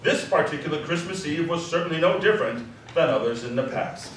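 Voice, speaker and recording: Male narrator, slightly high voice, storybook delivery for live radio drama, very roomy distant recording. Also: expressive, a little fast, fairly bright tone. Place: live community theatre performance. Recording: roomy live stage, distant mic, faint noise.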